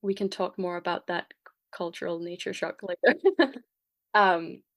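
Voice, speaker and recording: A young woman with a Danish accent, a guest talking casually in an interview, often pausing with fillers and restarting her sentences. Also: lowish voice, steady tone, a bit bright. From Denmark. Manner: hesitant, many fillers and restarts. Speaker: guest artist. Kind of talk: casual conversation.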